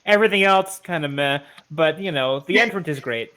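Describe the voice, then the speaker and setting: A man's voice: on the high side, fairly quick, noisy background. A man playing a tabletop game, improvising in a casual, informal way as he describes things to the group. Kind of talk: casual chat, improvising in character.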